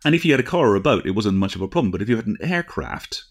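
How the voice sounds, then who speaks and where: A middle-aged or older British man co-hosting a casual podcast, explaining things in a halting, discursive way with frequent asides. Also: fairly low pitch, sing-song, no echo, studio quality. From United Kingdom. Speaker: podcast co-host.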